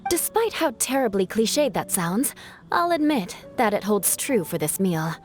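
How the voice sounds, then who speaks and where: A woman's voice: mid-range voice, big pitch swings, unhurried, soft background music. An actress playing a refined, wry woman knight in a video game, speaking in articulate, formal phrases. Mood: wry approval.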